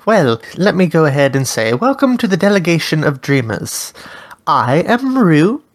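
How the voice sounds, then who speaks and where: A woman playing a dream-summoning host who asks friendly, measured questions. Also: deep voice, big pitch swings. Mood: curious. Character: Rue, a dream-summoning interviewer. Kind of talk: tabletop character interview.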